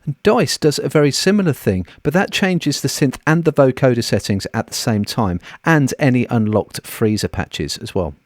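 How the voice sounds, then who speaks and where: A man presenting an audio software walkthrough in a scripted, explanatory narration, recorded close on a voice-over mic. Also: mid-range voice, expressive, normal speed, hardly any pauses, no echo.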